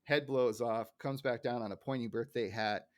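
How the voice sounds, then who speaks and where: A man with a medium-pitched voice co-hosts a casual podcast. He is chatty and pokes fun at himself as he talks through cartoon recaps. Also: fairly even intonation, moderate pace. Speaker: podcast co-host.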